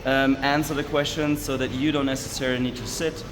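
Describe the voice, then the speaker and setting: A man's voice: mid-range voice, level tone, brisk, in a large hall, noisy background. A male host interviewing a guest at a trade fair, chatty and enthusiastic, asking leading questions. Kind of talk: casual interview. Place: busy trade fair hall.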